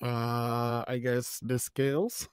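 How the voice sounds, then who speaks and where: A man hosting a podcast interview from a home studio, speaking slowly and attentively into a studio mic while wearing headphones. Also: medium pitch, little pitch movement, clear, resonant tone, dry recording. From Canada. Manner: attentive, slow, frequent short affirmations. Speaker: podcast host. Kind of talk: casual interview chat. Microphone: studio condenser mic with headphones.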